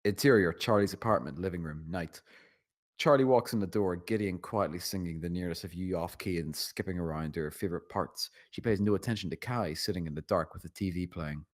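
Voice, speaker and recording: A man's voice: low-pitched, a little fast. A man narrating scene directions from a screenplay at a table read. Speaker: narrator reading stage directions.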